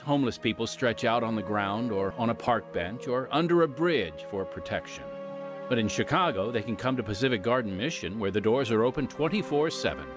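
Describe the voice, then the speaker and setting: A man narrating a scripted radio program with solemn, measured emphasis. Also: mid-range voice.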